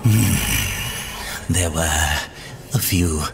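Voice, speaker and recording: A man playing a sly, greedy demon merchant in a video game cutscene, taunting in a drawn-out theatrical way. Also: sits low, gravelly, background noise, exaggerated voice. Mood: sly, mocking. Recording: loud background music under voice.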